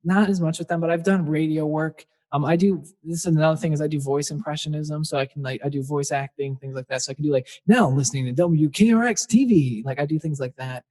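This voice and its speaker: A man's voice: a little high, quick pace, hardly any pauses, darkish tone. A young man, a singer-songwriter guest, chatting casually in a podcast interview and rambling with fillers. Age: young adult.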